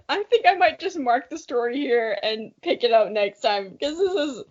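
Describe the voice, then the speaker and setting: Young woman, podcast co-host. High voice, joking, casual read-aloud and banter, slightly dull lo-fi audio. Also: big pitch swings, unhurried. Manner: playful, joking. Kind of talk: reading a childhood story aloud, casual banter.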